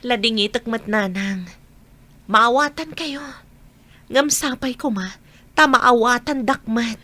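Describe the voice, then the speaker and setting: A patient young mother who has been hurt , played by a woman, in an Ilocano radio drama. Her voice is fairly high and bright, and she speaks earnestly. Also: slow pace, full character voice.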